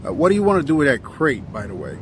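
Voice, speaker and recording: An actor playing a humble, curious sailor who talks haltingly and stammers with deference. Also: male voice, medium pitch, wildly swooping pitch, slight background noise. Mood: hesitant, curious. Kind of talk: audio drama acting.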